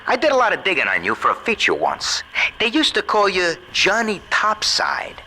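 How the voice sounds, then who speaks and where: A man's voice: sits high, huge pitch swings, moderate pace, pushed and bright, exaggerated voice. An actor playing a slick, shifty, smug radio newsman in a video game, with glib, theatrical buddy-buddy patter. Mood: glib, taunting. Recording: noisy transmission with background ambience.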